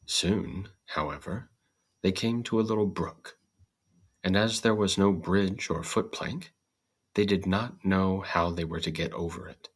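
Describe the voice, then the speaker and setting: A man reading a scripted storybook tale as host and narrator, in a measured, deliberate manner. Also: deep voice.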